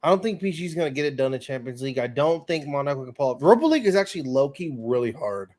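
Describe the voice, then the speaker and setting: A man's voice: medium pitch, lively intonation, racing, darkish tone. A man trading casual sports banter as a podcast guest, blunt and full of slang.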